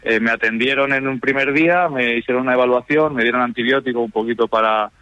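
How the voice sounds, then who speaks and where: A man's voice: medium pitch, fast talker, bright, forceful tone. A man from Spain with a Castilian accent, chatting casually as an interview guest and often ending phrases with a questioning tag. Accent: Spanish from Spain. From Zamora, Spain. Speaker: traveller guest, secondary school teacher. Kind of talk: casual radio interview, telling travel anecdotes. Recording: roomy, slightly dull sound.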